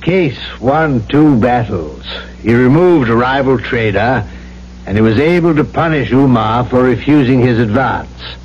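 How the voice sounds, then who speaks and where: An actor playing a grave missionary priest in an old-time radio drama, speaking in a formal, deliberate way. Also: male voice, mid-range voice, lively intonation, natural voice. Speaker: actor in supporting part. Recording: muffled old radio recording with faint hum.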